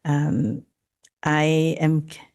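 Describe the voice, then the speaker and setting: Low-voiced trustee woman, halting. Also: little pitch movement, darkish tone. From Daly City, California. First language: not English. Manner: halting, many fillers and restarts. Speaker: school board trustee. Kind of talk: board meeting remarks. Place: district office board room.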